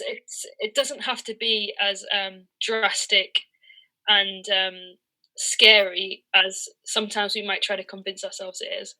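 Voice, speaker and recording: A woman's voice: mid-range voice, pushed and bright, low-quality recording. A woman in her early thirties giving a reflective, conversational interview, speaking candidly and hesitantly as she searches for words. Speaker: interviewed professional cricketer and coach.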